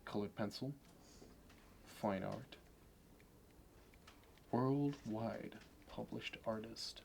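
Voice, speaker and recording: Low-voiced smug Wall Street banker played by a man, slick and fussy ASMR roleplay, audible background noise. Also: a little mellow, full character voice. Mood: meticulous appraisal. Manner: smooth sales talk, measured and fussy. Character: smug, fastidious investment banker, slick and contemptuous.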